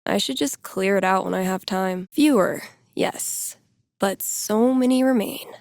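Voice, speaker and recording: A wry young woman, played by an actress, thinks aloud as a video game character working in a record store. Her delivery is casual and conversational, with dry sarcasm and a nerdy, playful streak. The pitch sits in an ordinary middle range for a woman, and the sound is close and dry, free of room echo. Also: a little slow, darkish tone, lightly acted. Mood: wry, weary. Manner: casual, dryly sarcastic, with sighs and playful asides.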